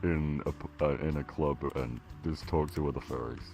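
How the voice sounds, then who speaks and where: A man's voice: a bass voice, steady tone, normal speed, creaky, over music. A man in a casual podcast chat, teasing the others and protesting in mock indignation.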